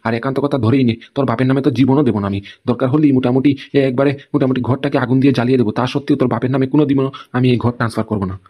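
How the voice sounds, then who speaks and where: Indignant husband, acted by a man, comedy cartoon, mid-pitched, scolding, very rapid, stubborn. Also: steady tone, mellow, husky, natural voice. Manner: scolding, repeats tag questions. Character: indignant, stubborn husband guarding his hard-earned house. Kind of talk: amateur comedy cartoon dialogue.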